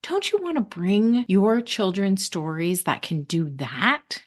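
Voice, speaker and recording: A woman hosting a podcast, reading scripted narration in a measured, deliberate way with emphatic phrasing. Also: medium pitch, expressive. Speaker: podcast host.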